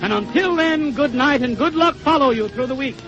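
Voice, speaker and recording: Casting: male vintage radio host, very high voice, scripted narration over music. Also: lively intonation, a little fast. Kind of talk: 1930s radio announcing and scene narration. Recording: dull 1932 radio recording, music behind.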